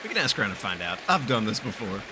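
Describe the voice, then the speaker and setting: A man's voice: fairly low pitch, very animated, fast talker, noisy background. A man doing playful let's-play commentary, joking and self-deprecating. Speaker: gaming streamer. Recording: game music behind the voice.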